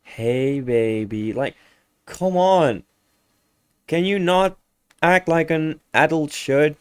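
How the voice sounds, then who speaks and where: A nineteen-year-old man talks slowly and unhurriedly, as if thinking out loud while he reacts. He sounds exasperated and stumbles, restarting his sentences mid-thought. The sound is dry and close, with no room echo. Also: a little high, clean tone. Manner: meandering, exasperated, trips over his words and corrects himself. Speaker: reaction video host. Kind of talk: casual reaction commentary.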